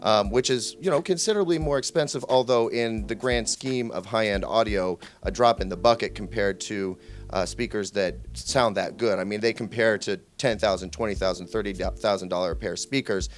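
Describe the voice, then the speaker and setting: Low-voiced male tech interviewer. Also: fairly quick, soft background music. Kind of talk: casual product interview.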